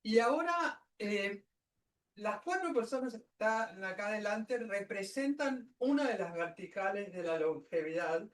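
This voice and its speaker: A woman's voice: medium pitch, animated, unhurried, slight background noise. Argentine woman moderating, distant in an echoey room. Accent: Argentine, Buenos Aires. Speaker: seminar moderator. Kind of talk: informal panel introduction. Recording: echoey room, far from the mic.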